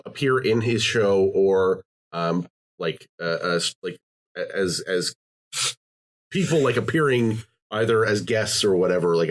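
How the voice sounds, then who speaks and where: A man hosting a podcast, talking casually, rambling with many fillers and restarts. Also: lowish voice. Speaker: podcast host. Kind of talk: casual chat.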